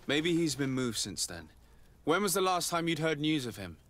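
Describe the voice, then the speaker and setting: An actor playing a poised, commanding noble diplomat in a video game, speaking formally and politely. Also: male voice, fairly high pitch, big pitch swings, moderate pace, fairly bright tone. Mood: formal, inquiring. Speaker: noble envoy. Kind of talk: video game dialogue acting.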